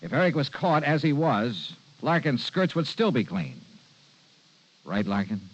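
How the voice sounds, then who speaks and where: A man's voice: medium pitch, big pitch swings, measured pace. An American man playing a wry, self-assured freelance insurance investigator in 1950s radio noir style, casual and slangy. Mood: smug, explaining. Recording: muffled, noisy vintage radio recording.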